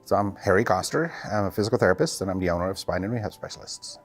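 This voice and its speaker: A man's voice: sits low, animated, racing, faint music behind. A middle-aged man from the Netherlands with a Dutch accent, a native Dutch speaker, casually answering interview questions as a physical therapist and clinic owner.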